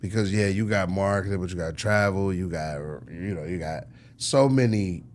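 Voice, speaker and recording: A man's voice: low-pitched, normal speed. A man being interviewed as a record label executive, talking casually in slangy, informal language and often checking that the listener follows. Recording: clearly noisy background ambience.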